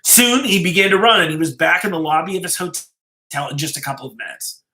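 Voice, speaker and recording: Male narrator, crisp bright tone, dramatic pauses, measured story reading, echoey room. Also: on the high side. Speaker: storyteller reading a written horror story aloud. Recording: roomy, echoey live-stream sound.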